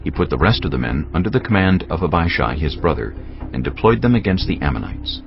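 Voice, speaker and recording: A man narrating a dramatized audio Bible with measured, solemn storytelling delivery. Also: low-pitched, very animated, vocal fry, highs cut off. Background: background music.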